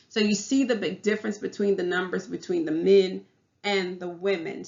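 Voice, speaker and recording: A woman's voice: on the low side, creaky, highs cut off. A woman in her thirties with a Southern US accent, a teacher giving a class presentation in an earnest way, often restarting her phrases. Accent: Southern US English.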